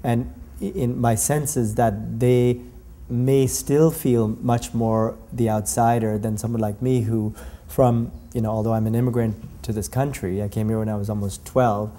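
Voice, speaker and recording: A man's voice: mid-range voice, fairly even intonation, normal speed, dark tone. A man in his forties, a lawyer from Canada, giving a thoughtful interview answer and often repeating words as he searches for the right phrasing.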